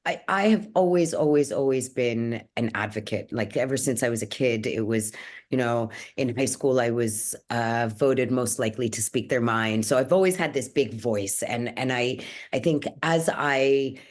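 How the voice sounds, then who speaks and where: Earnest woman guest from Egypt, very deep voice, restarts a lot. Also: normal speed, a little mellow. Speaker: guest, yoga teacher. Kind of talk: reflective interview talk.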